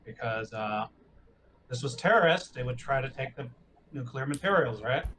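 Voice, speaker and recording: A man's voice: mid-range voice, expressive, normal speed, a bit bright. Guy chatting during a tabletop rpg, mid voice, bit of noise in the background. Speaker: player in a tabletop roleplaying game. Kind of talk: casual game table talk.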